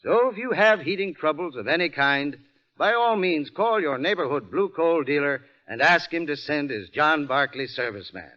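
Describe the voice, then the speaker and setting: Male American radio pitchman: highish, lively, friendly and earnest, folksy advice, muffled vintage recording. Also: moderate pace. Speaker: heating expert pitching a sponsor's product. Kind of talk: folksy scripted 1940s radio commercial.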